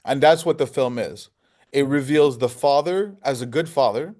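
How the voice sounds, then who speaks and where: Guy filmmaker in an interview, medium pitch voice, explains stuff by asking rhetorical questions. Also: moderate pace, a little mellow. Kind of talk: conversational interview answers.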